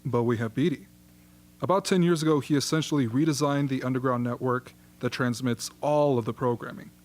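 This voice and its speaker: A man playing a genial, flattering propaganda chief in a radio play, chatty and smoothly persuasive. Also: medium pitch, brisk, close to an everyday voice. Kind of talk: amateur radio drama acting.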